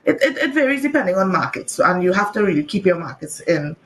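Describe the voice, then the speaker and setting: A woman from Trinidad and Tobago, an author talking casually in an interview, lively and laughing often, with many "you know"s. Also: lowish voice, fast talker, poor audio. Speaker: author guest.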